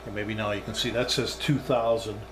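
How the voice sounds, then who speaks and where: A man's voice: fairly low pitch, fairly even intonation, fairly quick, background noise. A man giving a casual, unscripted hands-on demonstration as a hobbyist reviewer, thinking aloud as he goes.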